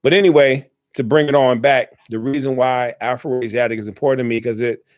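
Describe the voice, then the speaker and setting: A man's voice: mid-range voice, fairly even intonation, fairly quick, no echo. Man, young adult, rambling, earnest, self-correcting, casual Q&A, dry close sound. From South Side Chicago, USA. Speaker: speaker answering questions. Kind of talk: casual spoken Q&A.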